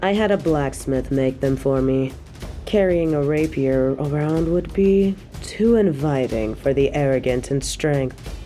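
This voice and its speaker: Woman playing a slow-talking, haughty evil queen. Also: very deep voice, expressive, warm tone, soft background music. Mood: condescending, taunting. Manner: slow, taunting, imperious, with breathy drops to a whisper. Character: evil, possessive, haughty adult queen and dictator. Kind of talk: scripted audio roleplay drama.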